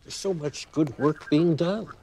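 An older actor playing an eccentric, mild-mannered elderly scientist in a sitcom, with a precise, quaint way of talking. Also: male voice, a little high, measured pace, a little hiss or hum. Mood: modest. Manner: precise, gently proud, quaint. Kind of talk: sitcom acting.

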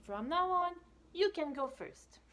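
A woman's voice: very high voice, lively intonation, unhurried, a bit bright, pitched above the performer's own voice, full character voice. A woman voicing a mild, earnest, childlike little male cheetah from a storybook. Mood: concerned.

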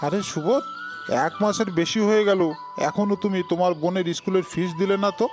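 A man's voice: high voice, steady tone, fast talker, loud music behind the voice, natural voice. A man voicing a stern village authority figure in a cartoon, with a blunt, commanding manner. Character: stern village landlord and other authority elders. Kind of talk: Bengali cartoon dubbing.

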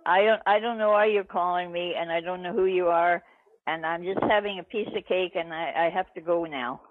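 A woman's voice: lowish voice, a little fast, no echo. Older woman on a tinny phone line. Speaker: prank call recipient. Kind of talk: unscripted phone conversation. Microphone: telephone.

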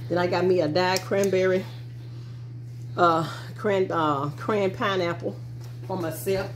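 Chatty low-voiced woman vlogging in a car. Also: fairly even intonation, unhurried. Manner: chatty, rambling, talks to viewers. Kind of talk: casual vlog chat. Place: in a car, then at a kitchen table. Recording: noisy car recording.

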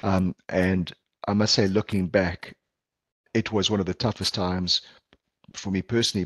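Middle-aged South African presenter, Portuguese first language; deep voice, dry close mic, earnest and halting. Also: male voice, a bit bright, dry recording. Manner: earnest, measured, with frequent ums and restarts. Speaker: palliative care physician presenter. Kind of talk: conference presentation over video call. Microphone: video call headset or laptop mic.

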